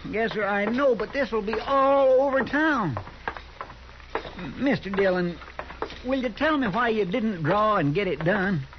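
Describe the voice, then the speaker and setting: An actor playing a loyal, anxious deputy with a folksy drawl in a 1950s radio drama. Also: male voice, high-pitched, animated, a little slow. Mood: fretful. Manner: folksy drawl, eager and fretful. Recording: dull hissy 1950s radio broadcast.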